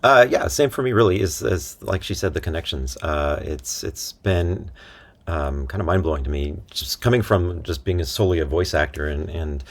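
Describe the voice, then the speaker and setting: A man guest on an interview, a voice actor, answering casually with many pauses and restarts. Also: sits low, lively intonation. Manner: hesitant, many restarts and fillers. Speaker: guest, audio drama co-creator and voice actor.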